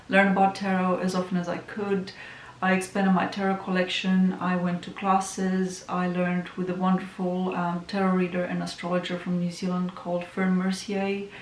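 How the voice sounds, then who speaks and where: Romanian-accented woman, low flat chatty voice. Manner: chatty, many ums, soft and musing. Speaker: tarot reader and astrologer. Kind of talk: casual self-introduction vlog. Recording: echoey room with faint hiss.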